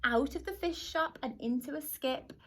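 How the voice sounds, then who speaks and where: High-voiced woman reading a children's story. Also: moderate pace. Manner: expressive storytelling for young children. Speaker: primary school teacher. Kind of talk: children's picture book read-aloud in rhyme.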